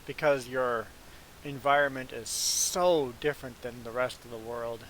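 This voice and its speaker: A man from Canada whose first language is Low German, chatting casually as he hosts a livestream of a farming game. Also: steady tone, measured pace, a bit bright, low-quality recording. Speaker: livestream gaming host. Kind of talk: casual chat while streaming a farming game. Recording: clear background hiss.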